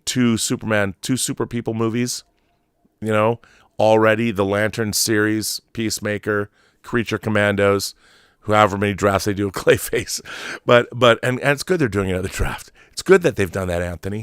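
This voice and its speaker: Guy hosting a movie show, lowish voice, dry close sound, rambles and restarts but really emphatic. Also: fairly bright tone, clear, resonant tone, no room sound. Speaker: host of a film commentary show; trailer editor. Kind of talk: opinionated, off-the-cuff movie commentary.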